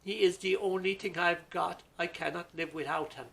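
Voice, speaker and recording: Man putting on a begging widow, high, tense, loud, fairy-tale mother. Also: steady tone, normal speed, creaky. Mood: pleading. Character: desperate loving widowed mother, pleading.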